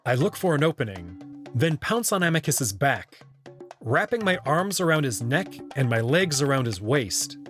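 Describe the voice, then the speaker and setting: A man's voice: mid-range voice, very animated, moderate pace, fairly bright tone, faint music behind. A young man reading scripted first-person narration for a visual novel as its protagonist.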